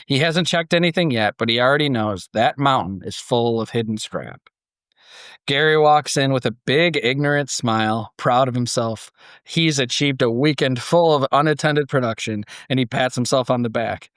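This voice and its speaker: A man hosting a podcast, moving between storytelling and casual discussion, acting out imagined quotes in an animated way. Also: medium pitch, normal speed, smooth, no echo, clean studio sound. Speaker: podcast host.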